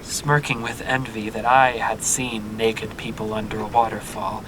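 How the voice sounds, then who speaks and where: A man's voice: level tone, measured pace, close to an everyday voice. A man playing a reflective, wry explorer in an audio drama. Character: reflective young male explorer narrating his journey. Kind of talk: audio drama acting and first-person narration. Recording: noisy, low rumbling hum behind.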